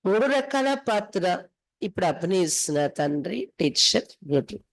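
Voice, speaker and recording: A woman's voice: lowish voice, very animated, measured pace. A woman from India, reading scripture aloud and explaining it phrase by phrase as a spiritual teacher. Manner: instructive, reads then translates and explains phrase by phrase.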